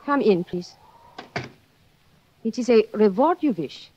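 An actress playing an excitable, haughty foreign mistress with melodramatic, formal phrasing in 1940s radio style. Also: female voice, medium pitch, takes it slowly, a little hiss or hum. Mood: wary.

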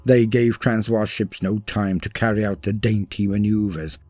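A man reading a scripted war story as a dramatic narrator, in a grave and measured manner. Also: fairly low pitch, steady tone, warm tone. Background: music.

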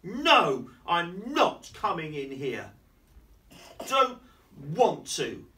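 Picture a grumpy little girl in a picture book, squeaky and high, whining that she won't go in and wants her daddy, as a man puts her on, the sound drifting in from across a classroom. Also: big pitch swings, drawn-out words, sharp tone, recorded from a distance, full character voice. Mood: sulky.

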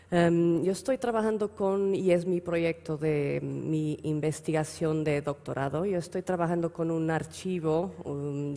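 A woman in her thirties from Portugal with a Portuguese accent, giving a halting conference talk in mixed Spanish and Portuguese with many fillers. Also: deep voice, mellow. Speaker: panel speaker. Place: large hall on stage. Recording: long reverb in big hall.

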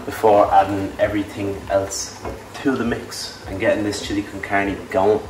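A young man, talking casually through a cooking walkthrough in a home kitchen, relaxed and self-deprecating. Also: on the low side, moderate pace, recorded from a distance, over music. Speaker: fitness vlogger. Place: large home kitchen, indoors. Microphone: camera mic at a distance. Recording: echoey kitchen, voice from off-mic.

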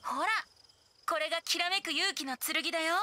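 Bright, high-voiced boy bard. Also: normal speed, heavily acted. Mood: assured. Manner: theatrical, poetic, persuasive. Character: youthful boy bard, playful, poetic, smoothly persuasive. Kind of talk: Japanese anime game voice acting.

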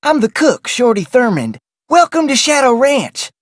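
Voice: high-pitched, big pitch swings, normal speed, dry recording, clean studio sound. An actor playing a chatty ranch cook in a video game, with a folksy, familiar manner. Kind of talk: video game character dialogue.

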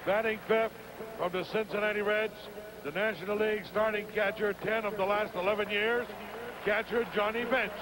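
High-voiced stadium announcer over roaring crowd. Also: male voice, steady tone. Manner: slow, deliberate, drawn-out pauses between names. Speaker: stadium public address announcer. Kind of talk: player introductions over stadium PA. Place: outdoor ballpark stadium. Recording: stadium PA with loud crowd noise, 1980 broadcast. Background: loud crowd.

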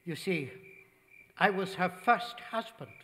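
High-voiced, solemn devoted manservant. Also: male voice, very noisy. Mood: proud, solemn. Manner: formal, deliberate, grave. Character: solemn devoted manservant, protective former husband of his mistress. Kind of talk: old-time radio drama acting on stage. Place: large theater stage, vintage-style stand mics. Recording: echoey theater hall reverb.

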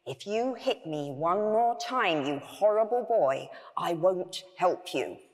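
A woman's voice: fairly high pitch, big pitch swings, a little slow. Storybook role: woman playing small male toy pig, indignant, bossy, curt. Big reverberant hall. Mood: cross.

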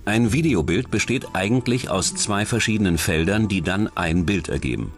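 A man's voice: sits low, animated, moderate pace, soft background music. A man reading scripted true-crime documentary narration.

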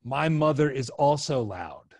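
A middle-aged man hosting a casual podcast, explaining ideas in a halting way as he thinks aloud. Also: medium pitch, animated, no room sound, studio quality. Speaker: podcast host, video editor. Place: indoor room, video call. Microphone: podcast mic on an arm, headphones.

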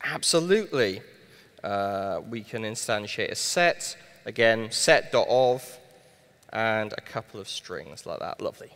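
A man's voice: lowish voice, expressive, a little slow, fairly bright tone. Man live-coding on a big hall stage. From Wales. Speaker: conference speaker live-coding. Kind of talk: live-coded tech talk on stage. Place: large conference hall stage. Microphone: headset mic. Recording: long hall reverb.

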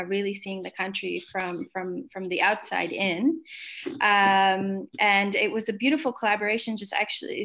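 A woman in her thirties, born in Slovakia, discussing her book on a video call from a room at home. She talks thoughtfully, with frequent fillers and self-corrections, and her medium-pitched voice carries a gravelly fry. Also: fairly bright tone. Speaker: book author.

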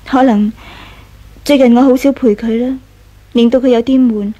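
A woman plays a gentle young wife in a Hong Kong Cantonese radio play. Her voice is high and softly mellow. She speaks politely and measuredly, full of trust. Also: fairly even intonation, normal speed, dull audio, natural voice. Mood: trusting, doubtful. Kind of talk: 1980s Cantonese radio drama acting.

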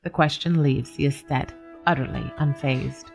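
A woman playing a nameless, observant house cat, narrating a literary radio story in a measured, formal, deadpan way. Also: rumbling low, creaky. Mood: wry, reflective. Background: music.

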